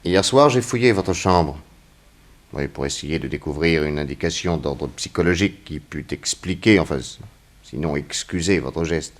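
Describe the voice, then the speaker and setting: An actor playing a stern, shrewd investigator who questions insistently and sardonically in a 1960s French radio crime drama. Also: male voice, very deep voice, expressive, moderate pace. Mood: grave accusation. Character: stern, shrewd male investigator grilling a young suspect.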